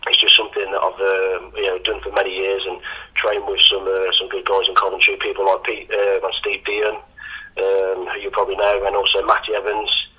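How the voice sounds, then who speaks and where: Croaky, husky coach. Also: male voice, low voice, completely flat delivery, normal speed, pushed and bright, low-quality recording. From England. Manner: hesitant, full of ums and restarts. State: croaky after training. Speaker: MMA coach. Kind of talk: casual interview answers.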